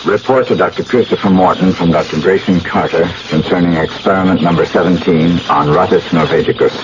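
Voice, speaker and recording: Actor playing an earnest low-voiced scientist, noisy old radio. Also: male voice, a little fast, dull audio, natural voice. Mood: formal. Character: intense male research scientist, devoted secret lover. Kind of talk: 1970s radio drama acting.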